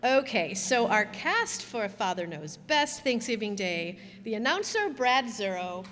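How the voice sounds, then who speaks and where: A woman's voice: a little high, big pitch swings, a little slow, fairly bright tone. Woman director, casual curtain call, roomy hall with audience noise. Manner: chatty, playful. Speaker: troupe director thanking cast. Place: stage before a live audience. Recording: noisy live hall with audience. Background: audience murmur.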